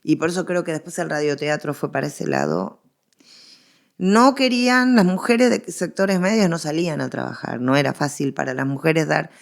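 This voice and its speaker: A woman's voice: low-pitched, lively intonation, normal speed, creaky. A middle-aged woman with a Rioplatense Argentine accent, explaining as an expert guest in a conversational interview, emphatic and given to digressions.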